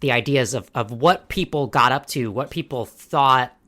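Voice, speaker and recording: A podcast co-host in casual conversation, with a voice pitched right in the middle. They speak articulately and steer the talk from one topic to the next. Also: normal speed, bright, forceful tone. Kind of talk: casual chat.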